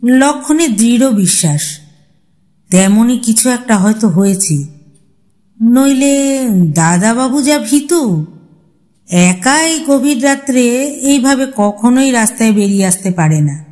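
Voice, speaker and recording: Woman reading a horror story, suspenseful and dramatic, very slow, voice a bit high. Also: expressive, mellow.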